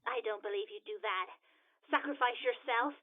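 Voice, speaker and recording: A high-pitched, imperious villainess played by a woman in a sci-fi audio drama. She is a scheming alien scientist who speaks with theatrical scorn. The recording is somewhat dull and lo-fi. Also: normal speed, close to an everyday voice. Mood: defiant, disbelieving. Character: haughty, scheming villainous female Time Lord scientist.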